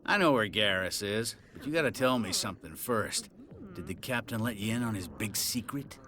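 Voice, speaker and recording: A man's voice: lowish voice, animated, moderate pace, background noise, natural voice. An actor playing a disgraced middle-aged policeman in a video game, boozy, sardonic and sneering. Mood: sardonic, bitter.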